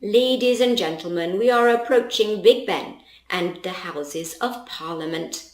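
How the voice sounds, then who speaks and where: A woman's voice: medium pitch, a little slow, echoey room, natural voice. A woman voicing a dignified, self-assured male goose who talks formally, like a pilot making announcements, in a storybook. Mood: announcing.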